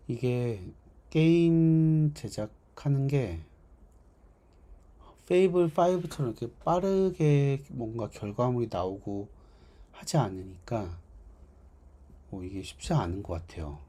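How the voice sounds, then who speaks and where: A man with a hoarse, worn voice giving casual livestream commentary as a game developer, thinking aloud haltingly. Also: mid-range voice, mellow. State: hoarse, strained voice from overuse.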